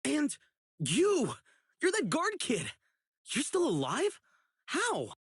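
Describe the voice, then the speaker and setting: A man voicing an earnest, righteous young public security officer in a video game, dutiful and excitable, with dramatic delivery. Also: extremely high pitch, takes it slowly, exaggerated voice. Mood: surprised, earnest. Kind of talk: isolated game character voice lines, dramatic acting.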